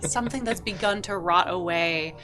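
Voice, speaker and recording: A woman running a tabletop game as game master, narrating with lively energy. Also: on the low side, normal speed, soft background music.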